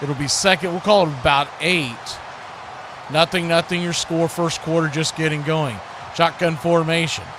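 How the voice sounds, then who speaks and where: Male play-by-play sports announcer, slightly high voice, folksy and excitable, noisy live recording. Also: moderate pace. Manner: folksy, excitable on big plays. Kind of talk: live football play-by-play commentary with asides to viewers. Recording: clear background noise.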